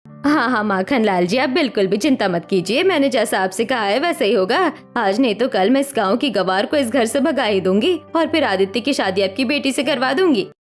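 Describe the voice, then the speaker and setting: A woman's voice: a little high, animated, fairly quick, faint music behind, lightly acted. A woman voicing a scheming, bossy, cruel middle-aged mother-in-law in a cartoon family drama. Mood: conspiratorial.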